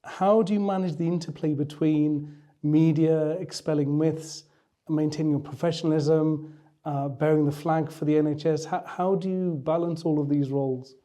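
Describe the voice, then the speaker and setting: Very dark-voiced male interviewer. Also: a little high, steady tone, moderate pace. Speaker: podcast host interviewing a doctor. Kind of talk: measured interview questioning.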